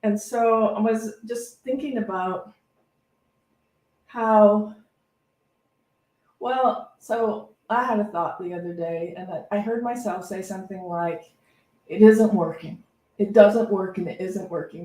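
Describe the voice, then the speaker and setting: A woman reverend giving a conversational, unscripted inspirational talk, reflective and anecdotal, often repeating phrases as she feels her way along. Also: on the high side. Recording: echoey room, distant microphone.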